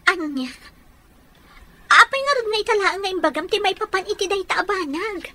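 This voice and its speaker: A woman's voice: extremely high pitch, a little fast, bright, forceful tone, full character voice. A woman with an Ilocano accent playing an insistent, caring young fiancée in a radio drama. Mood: suspicious, pressing.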